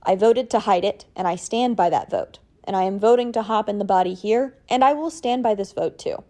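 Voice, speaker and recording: Casting: woman as podcast listener sending a voice note, soft-toned, wry, measured and informal. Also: medium pitch. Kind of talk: casual personal voice message with opinions.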